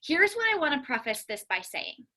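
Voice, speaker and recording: A woman coaches a team in a high, bright voice. She is earnest and emphatic, leaning on key words and repeating phrases to drive them home. The delivery is that of a motivational business talk. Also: sing-song, fairly quick.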